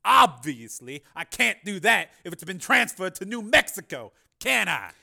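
A cool, manipulative politician, as the narrator plays him, speaking slowly and wryly with a quietly menacing calm. The voice sits a little above the reader's own and carries a bright edge. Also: male voice, sits high, gravelly, voice pushed up, exaggerated voice. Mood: calmly menacing. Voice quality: calm and wry.

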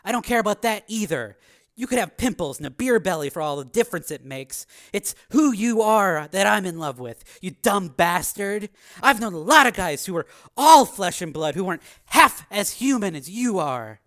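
A man's voice: high-pitched, lively intonation, moderate pace, a bit bright. A man voicing a tough, devoted young woman who speaks bluntly and earnestly in audiobook dialogue. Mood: frank, loving.

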